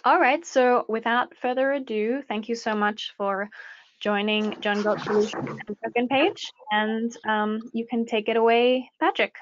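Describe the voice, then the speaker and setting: A woman's voice: fairly high pitch, steady tone, a little slow. A woman hosting a webinar, introducing the speaker politely with frequent ums. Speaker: webinar host. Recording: dry, dull lo-fi webinar audio.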